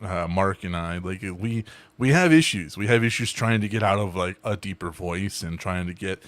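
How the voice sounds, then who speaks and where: A man's voice: low-pitched, normal speed, fairly bright tone, dry recording. A man hosting a casual group podcast over a video call, rambling with restarts and swearing freely. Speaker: podcast host.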